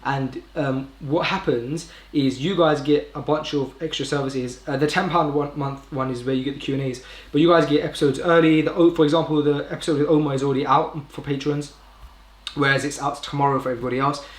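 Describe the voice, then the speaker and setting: A man's voice: mid-range voice, fairly even intonation, fairly quick. British man live streaming in an echoey room. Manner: informal, rambling, self-correcting. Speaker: podcast host live streaming. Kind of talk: casual live stream chat. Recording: roomy indoor echo.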